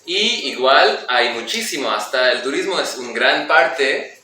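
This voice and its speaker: A young man from Nepal talks through his answers as an interview guest, circling back with restarts and fillers. His voice is bright and clear in tone. He is recorded off-mic in a very echoey space with a faint hiss behind him. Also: mid-range voice, lively intonation, unhurried, recorded from a distance. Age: young adult. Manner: explains at length with restarts and fillers. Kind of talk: casual interview.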